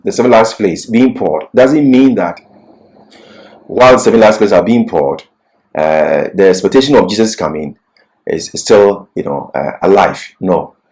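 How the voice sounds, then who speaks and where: A man's voice: on the low side, darkish tone. A man giving an expository Bible lecture as a teacher, repeating key phrases for emphasis.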